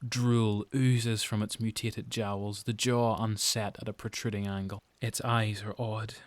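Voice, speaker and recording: A man with a Northern Irish accent reading scripted, suspenseful dramatic story narration in deliberate phrases. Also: lowish voice, flat delivery, no room sound. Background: music.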